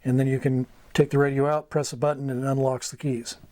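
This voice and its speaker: A middle-aged American man giving an informal instructional talk, going item by item in short, halting phrases. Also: medium pitch, little pitch movement, warm tone, no room sound. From United States. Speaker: amateur radio mentor, video host. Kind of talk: informal instructional explanation at a whiteboard. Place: small wood-panelled room indoors.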